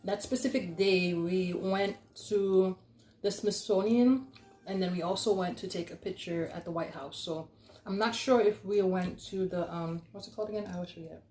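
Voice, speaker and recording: A woman's voice: mid-range voice, fairly even intonation, normal speed, a little mellow. Young woman just chatting through a story, slangy, does the quotes, echoey room. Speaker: storyteller, vlog host. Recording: roomy, echoey sound.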